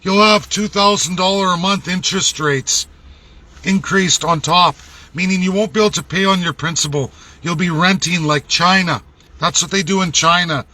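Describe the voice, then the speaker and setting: A man's voice: high voice, normal speed, pushed and bright. A man with a Canadian accent delivering a blunt, indignant, emphatic and profane unscripted political rant as a vlogger. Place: laundromat.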